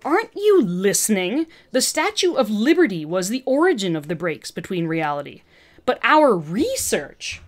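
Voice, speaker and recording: A woman's voice: medium pitch, very animated, moderate pace, lightly acted. A woman voicing an arrogant, agitated woman scientist villain with an intense, condescending manner in a fan fiction reading. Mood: impatient.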